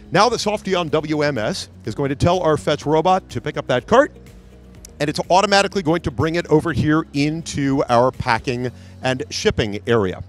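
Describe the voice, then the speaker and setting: Guy in his 40s pitching a demo at a convention booth, bit high voice, handheld mic. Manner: upbeat, salesy, pointing things out. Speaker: trade show presenter. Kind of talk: live product demo narration. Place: large convention hall trade booth.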